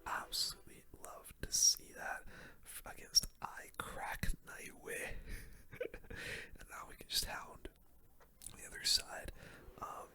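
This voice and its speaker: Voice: slow, long pauses. Whispering ASMR gamer. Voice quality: soft breathy unvoiced whisper. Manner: casual slangy gamer talk, reacting to play. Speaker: gaming video host. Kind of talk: whispered ASMR gaming commentary.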